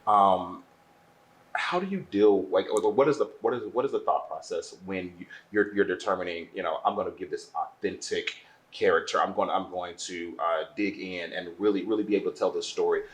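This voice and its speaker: A man working as an interviewer in a casual style, halting and repeating words as he forms his questions. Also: sits low.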